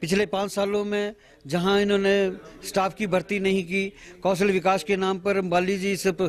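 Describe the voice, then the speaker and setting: Halting high-voiced man at press mics. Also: little pitch movement. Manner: halting, with many filler pauses and restarts. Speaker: party official interviewed. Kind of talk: press statement to reporters. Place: outdoors at a bus station entrance. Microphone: bundle of handheld news mics.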